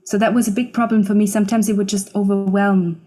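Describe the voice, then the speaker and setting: A singer-songwriter in her twenties speaks as a guest in a casual interview. She is a German speaker. Her voice is soft-edged and dark, at an average pitch for a woman, and she talks reflectively. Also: flat delivery, fast. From Switzerland. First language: Swiss German. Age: 20s.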